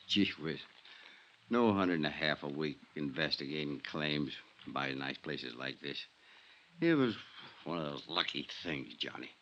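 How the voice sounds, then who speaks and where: A crooked insurance adjuster in 1950s radio drama style. The voice is deep but forceful and bright. He talks in folksy tough-guy slang, with a sardonic, falsely genial air that covers a killer. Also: lively intonation, measured pace, muffled recording, a little hiss or hum, full character voice. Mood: smug, wheedling.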